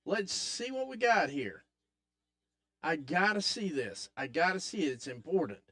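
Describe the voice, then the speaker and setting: Raspy-voiced man calling wrestling live. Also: on the high side, unhurried. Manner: excitable, hypes up the action, talks to chat. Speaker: podcast host. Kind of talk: live wrestling watch-along commentary.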